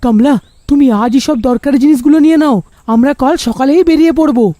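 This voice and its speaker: An earnest, practical family man, acted by a woman, with a high, mellow voice and a dry studio sound. He speaks plainly, as a poor father in a cartoon horror story. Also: brisk, no room sound, lightly acted. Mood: practical, urging.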